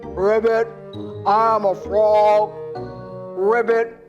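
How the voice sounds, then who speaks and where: A woman voicing a small, polite male frog in a storybook, eager and friendly, with a croaky delivery. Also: mid-range voice, little pitch movement, extremely slow, lo-fi sound, music in the background, pitched below the performer's own voice. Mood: polite, hopeful.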